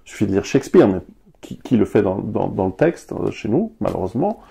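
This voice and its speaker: Guy musing as a guest, deep-ish and gravelly. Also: big pitch swings, brisk, warm tone. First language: Italian. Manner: reflective, thinking aloud. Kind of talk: conversational interview.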